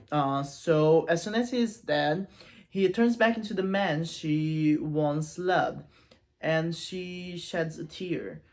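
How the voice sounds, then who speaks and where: A woman casually retelling and analysing a story in an informal, animated storytelling manner. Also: deep voice. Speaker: video essayist and book reviewer.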